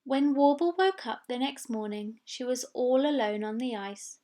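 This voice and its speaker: A woman's voice: high-pitched, lively intonation, normal speed, dark tone. A woman reading a children's storybook expressively, with dramatic delivery of sound words. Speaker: story reader.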